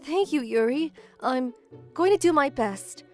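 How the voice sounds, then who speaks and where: Woman acting high, sweet schoolgirl Misaki. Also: expressive, measured pace, faint music behind. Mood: earnest resolve. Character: Misaki, sweet earnest lovestruck teenage schoolgirl. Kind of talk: manga dub romcom acting.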